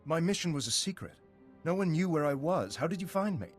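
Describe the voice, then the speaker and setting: An actor playing a grave, weary, duty-bound Jedi scout in a video game. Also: male voice, on the high side, expressive, fairly quick, soft background music, natural voice. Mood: wary, stunned. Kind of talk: video game cutscene acting.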